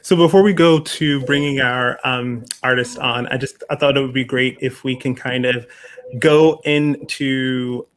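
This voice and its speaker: A man hosting an online festival conversation in an informal, halting way, speaking over a remote call. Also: mid-range voice, fairly even intonation, a bit bright. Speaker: host, festival producer.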